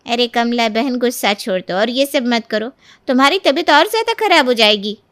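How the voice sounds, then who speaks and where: A woman's voice: fairly high pitch, animated, moderate pace, fairly bright tone, no room sound, pitched above the performer's own voice. A woman voicing a concerned older neighbour woman in a storybook tale, exclaiming and coaxing. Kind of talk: Hindi moral-story voice acting.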